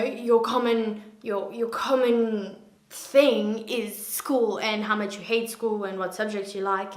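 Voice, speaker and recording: A woman in her twenties chatting casually to camera as a vlogger, self-mocking and animated, a native English speaker. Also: fairly high pitch, reverberant. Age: 20s.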